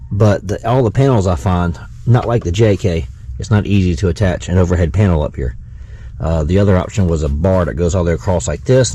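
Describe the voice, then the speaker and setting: Low-voiced man narrating a hands-on car project. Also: dark tone. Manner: folksy, thinking aloud. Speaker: vlogger demonstrating a car radio install. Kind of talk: casual how-to narration while working. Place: inside a vehicle. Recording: clear background noise in a vehicle.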